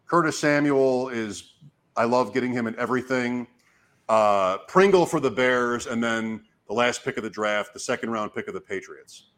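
A man, hoarse from talking, hosting a casual fantasy sports show and commentating in short, fragmented phrases as he thinks out loud. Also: mid-range voice, normal speed. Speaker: fantasy football show host giving draft advice. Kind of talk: casual talk, live draft commentary.

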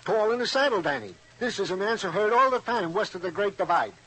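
A man's voice: high voice, a little fast, sharp tone, husky, heavily acted, low-quality recording. A man playing a chatty police sergeant in an old radio drama, with a wordy, pompous way of talking. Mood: jovial. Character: chatty Italian-American police sergeant, folksy. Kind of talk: 1950s radio drama acting.

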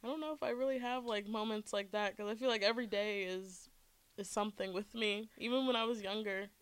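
A woman guest in a casual chat, outgoing and quick to laugh. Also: mid-range voice, fairly even intonation, clear, resonant tone.

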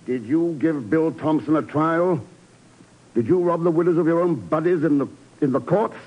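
A man's voice: on the high side, moderate pace, lightly acted. An actor playing a cool, authoritative male secret agent in a 1950s radio drama, with a dull vintage broadcast sound. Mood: stern.

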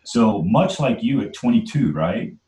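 A man in his forties from Trinidad speaks in a very low voice and tells his story earnestly as an interview guest. He leans into his points and keeps checking in with "right?". The room around him adds a clear echo. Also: lively intonation, measured pace, dark tone. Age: 40s. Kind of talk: conversational storytelling.

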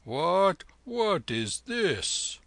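A weary old holy man speaks slowly and gently, puzzled by the world around him, as a man reads him. The voice is gravelly and bright, with a lively lilt. The sound is close and dry. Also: high-pitched, no room sound, pitched above the performer's own voice, full character voice. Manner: slow, weary, measured.

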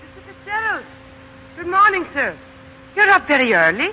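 An actress playing a polite, practical young woman, gently coaxing, in a 1940s radio play. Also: very high-pitched, very animated, moderate pace. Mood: polite, puzzled. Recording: dull, noisy 1940s radio recording.

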